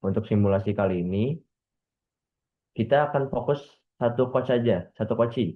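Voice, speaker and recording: A man in his late twenties leading a practice coaching session over a video call, speaking gently and informally with frequent hesitations. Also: fairly low pitch, brisk, narrow, tinny audio. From Bekasi, Indonesia. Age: 20s. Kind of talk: role-play coaching session.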